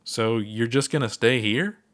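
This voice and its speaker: A man's voice: mid-range voice, measured pace, fairly bright tone, natural voice. A man reading aloud, voicing an earnest, worried young alien astronaut who presses with eager questions in a raised voice. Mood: urgent.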